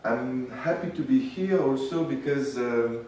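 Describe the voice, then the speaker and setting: A man lectures at an unhurried pace, stopping often to find his words and restart. He is captured by a far-off mic in a strongly echoing room. A faint hiss of noise sits underneath. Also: medium pitch, dark tone. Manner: halting, with frequent fillers and restarts. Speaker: guest lecturer. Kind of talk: public lecture.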